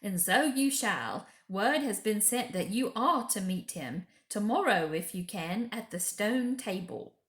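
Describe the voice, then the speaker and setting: A woman's voice: medium pitch, lively intonation, measured pace, few pauses, fairly bright tone. An earnest storybook beaver, acted out by a woman, a male creature who talks in a folksy, emphatic way full of reverence. The room echoes around the voice. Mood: assured. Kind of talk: children's storybook character voice.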